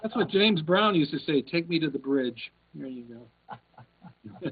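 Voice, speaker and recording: A man chatting on a video call, talkative and quick to laugh. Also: fairly high pitch, lively intonation, breathy edge. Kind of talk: casual video call chat. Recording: tinny call audio.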